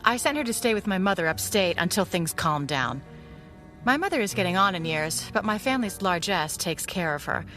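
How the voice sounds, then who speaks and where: An actress playing a composed, guarded widowed mother in an audio drama, speaking in a measured, conversational way. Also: lowish voice, expressive, pushed and bright, soft background music.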